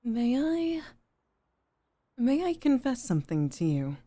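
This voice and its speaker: Slow, soft-spoken, composed maid girlfriend played by a woman. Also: on the high side, sing-song, dry recording, full character voice. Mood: earnest, vulnerable. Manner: soft, measured, formal, often dropping toward a whisper. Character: composed, formal head maid, softly devoted new girlfriend off duty. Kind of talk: ASMR girlfriend roleplay, intimate and scripted.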